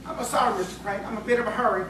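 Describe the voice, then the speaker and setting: A woman playing an eager, folksy townsman in audio theatre, with a hurried, sociable manner. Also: medium pitch, lightly acted. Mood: hurried, polite. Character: brisk, folksy, eager male townsman delivering invitations. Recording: very echoey, distant, noisy room.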